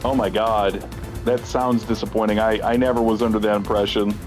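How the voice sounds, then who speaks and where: A man's voice: fairly low pitch, level tone, brisk, darkish tone, soft background music. A man chatting casually as a livestreamer, rambling and self-deprecating, with an everyday conversational delivery.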